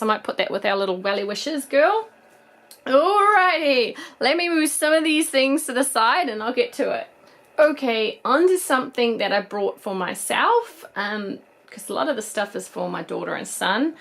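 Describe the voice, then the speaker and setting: A woman, casually showing off secondhand plush toys in a chatty, gushing way. Her voice is a bit croaky from a cold. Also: mid-range voice, fairly bright tone. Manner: chatty, gushing over toys, frequent ums. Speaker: toy collector vlogger. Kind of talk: casual haul show-and-tell.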